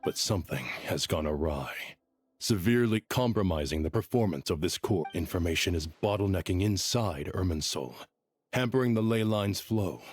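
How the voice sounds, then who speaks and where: A low-voiced, grave male scholar. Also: animated. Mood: grave, explanatory. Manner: measured, formal, articulate. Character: grave, composed male scholar, urgent under crisis. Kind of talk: scripted video game voice acting. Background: background music.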